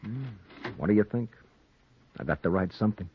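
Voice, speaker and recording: An American actor playing an excitable, self-justifying newspaper reporter in a 1940s radio noir drama. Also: male voice, lowish voice, normal speed. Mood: bitter. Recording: muffled, noisy 1940s radio recording.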